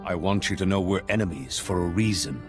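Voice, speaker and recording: An actor playing a grave, haunted Jedi zealot in a video game, speaking with solemn, deliberate intensity. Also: male voice, deep voice, music in the background, close to an everyday voice. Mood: defiant. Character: fallen, redeemed male Jedi, grave, haunted, resolute zealot. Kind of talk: dramatic video game acting.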